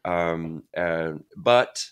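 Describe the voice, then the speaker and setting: A middle-aged man, a guest actor, talking reflectively in a casual interview, often pausing and restarting his sentences. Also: low-pitched, a bit bright, clean tone. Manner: reflective, halting, many restarts and fillers.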